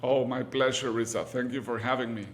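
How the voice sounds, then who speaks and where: A man's voice: mid-range voice, little pitch movement, a little fast. A man from Mexico City, a native Spanish speaker with a Mexican accent, answering interview questions as a surgeon, with hesitant phrasing and repeated words for emphasis. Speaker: guest, orthopedic surgeon.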